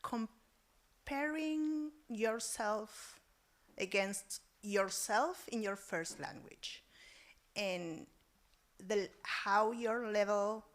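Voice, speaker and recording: A woman's voice: medium pitch, extremely slow. A woman in the audience asking a question hesitantly, searching for words.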